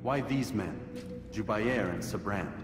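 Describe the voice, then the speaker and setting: An actor playing a solemn, earnest young assassin who speaks in a measured, formal way in a video game cutscene. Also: male voice, fairly low pitch, echoey room, long reverb tail, music in the background, natural voice. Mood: earnest, resolute.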